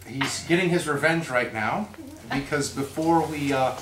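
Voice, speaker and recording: A man's voice: on the high side, measured pace. A man giving an informal spoken introduction as a presenter at a live event. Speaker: presenter at a readers theater event. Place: live event in a roomy space. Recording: echoey room, distant mic, faint noise.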